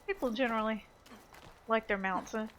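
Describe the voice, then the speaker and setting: A woman's voice: on the high side, normal speed, fairly bright tone, a little hiss or hum. A woman giving casual, chatty video game commentary, thinking aloud as she plays.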